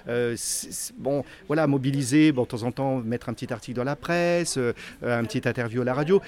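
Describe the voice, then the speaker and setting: A middle-aged man, the founder of a charity, answering interview questions in an earnest, casual way, often repeating words and filling pauses. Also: medium pitch, darkish tone. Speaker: association founder.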